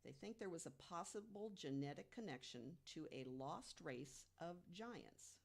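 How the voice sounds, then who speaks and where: Woman, low register, podcast panelist. Deliberate conversational storyteller who leans on pauses and rhetorical questions. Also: animated, creaky. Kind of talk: conversational storytelling about mysteries.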